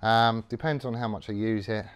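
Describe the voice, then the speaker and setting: Low-voiced man in a workshop. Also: fairly even intonation, normal speed, smooth. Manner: rambling, matter-of-fact, lots of ums and restarts. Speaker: workshop vlogger. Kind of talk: casual demonstration while working. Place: garage workshop, indoors.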